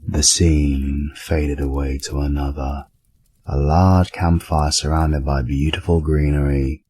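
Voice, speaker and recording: A British man playing a solemn storybook narrator, reading scripted narration in a very deep, husky voice. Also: little pitch movement, takes it slowly, mellow. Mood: calm, solemn.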